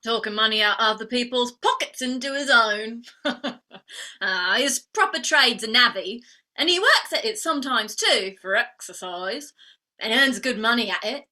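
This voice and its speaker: A brash young Cockney flower girl in a stage play. Her voice is high and sharp, and it swings freely up and down as she talks with cheeky, indignant pride. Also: expressive, normal speed. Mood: scornful. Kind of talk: staged reading of a classic play.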